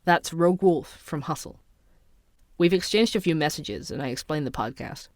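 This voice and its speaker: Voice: medium pitch, lively intonation, normal speed, no room sound, natural voice. An actor playing a persistent investigative podcast reporter in an audio drama, narrating in a dry, wry, conversational way. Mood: wry, matter-of-fact.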